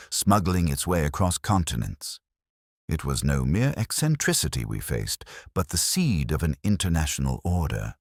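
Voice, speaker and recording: A grave, incisive detective from a mystery audiobook, voiced by a man. The voice is extremely low with a husky grain, and it moves expressively through measured, oratorical explanations. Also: no echo, studio quality, full character voice. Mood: grave, emphatic.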